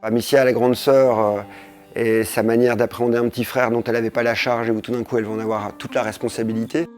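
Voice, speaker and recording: A man speaking as a game developer in an explanatory interview, measured, pausing between phrases. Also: medium pitch, flat delivery, faint music behind.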